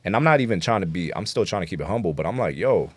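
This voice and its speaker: A man's voice: sits low, expressive, very fast, no echo. A man talking casually as a rapper guest in an interview, slangy, profane and self-assured. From New York City, United States.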